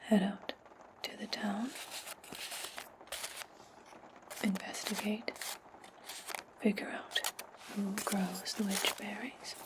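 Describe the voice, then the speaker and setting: A woman voicing a cold, commanding witch in an ASMR roleplay, speaking slowly in a breathy, threatening whisper. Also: medium pitch, flat delivery, natural voice, rough recording. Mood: menacing, commanding. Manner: slow, commanding, coldly threatening. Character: menacing, domineering witch commanding an indebted servant.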